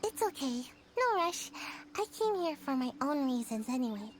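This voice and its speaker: A woman's voice: high-pitched, big pitch swings, normal speed, noisy background. A woman voicing a sleepy, timid little girl in a video game cutscene, earnest and subdued. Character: drowsy, timid little girl who dozes off easily. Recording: game music behind the voice.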